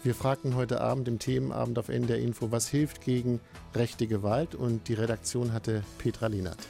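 Gravelly-voiced male radio host. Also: medium pitch, soft background music. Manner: calm, measured moderator, polite and steering. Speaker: radio talk show host. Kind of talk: moderating a call-in radio discussion.

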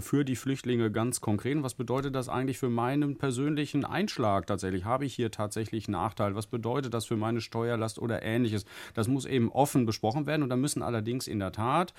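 A man's voice: mid-range voice, darkish tone, dry recording. A man speaking as a guest in a radio panel, emphatic and assertive, repeating his key points.

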